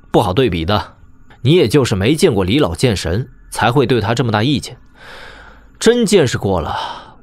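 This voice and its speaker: A man's voice: mid-range voice, dry recording, lightly acted. A man playing a wry, easygoing young noble swordsman in a martial-arts audio drama, chatting in a teasing, conversational way. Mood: wry, reflective.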